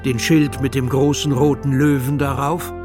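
A man's voice: medium pitch, flat delivery, moderate pace. A man narrating a children's audio drama as a scripted storyteller. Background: music.

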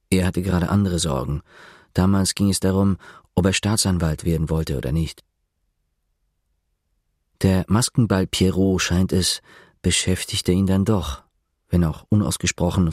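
Deep, husky male narrator. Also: normal speed, pauses often, darkish tone, no echo, clean studio sound. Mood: dryly ironic. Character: narrator retelling another man's confession, detached, ironic. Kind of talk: scripted literary narration in radio drama.

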